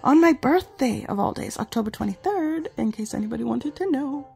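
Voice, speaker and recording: A woman vlogger sick with the flu, her voice gravelly, chatting in a rambling, self-deprecating way while reviewing glasses at home. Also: high-pitched, expressive, fairly quick, a little mellow, faint music behind. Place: home, indoors and outdoors in yard.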